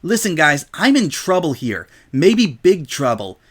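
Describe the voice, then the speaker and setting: A man voicing a brash, indignant young female pegasus pony, loud, defensive and exclamatory, in a story reading. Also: a little high, very animated, measured pace, husky, pitched above the performer's own voice, exaggerated voice. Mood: defensive, sheepish.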